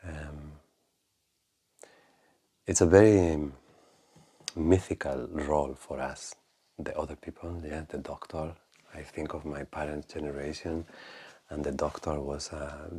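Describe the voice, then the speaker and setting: A man with a very deep, slightly husky voice hosts the conversation. He asks his questions haltingly, searching for words and trailing into quiet asides. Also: steady tone. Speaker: podcast host. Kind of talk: casual interview questions.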